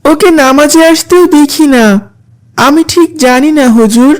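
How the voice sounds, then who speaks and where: A woman's voice: sits high, a little slow. A woman voicing an earnest, polite young schoolboy in a children's moral cartoon. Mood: polite, respectful.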